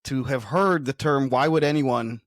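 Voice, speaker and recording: A man's voice: medium pitch, moderate pace, no room sound, studio quality. A middle-aged man co-hosting a podcast, talking casually and making points with emphatic rhetorical questions. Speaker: podcast co-host, content marketing expert.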